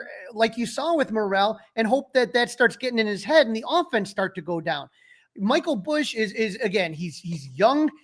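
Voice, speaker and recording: A man with a notably high-pitched voice talks sports as a podcast co-host. His delivery is casual and conversational, and he states his opinions with emphasis. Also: a bit bright. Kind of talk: casual sports talk and analysis.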